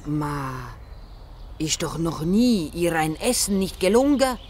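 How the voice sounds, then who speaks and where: A woman playing an excitable, dramatic Italian lady who loves food in a children's audio drama, with Italian-accented Swiss German and drawn-out exclamations. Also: low voice, a little hiss or hum, heavily acted. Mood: dramatic, worried.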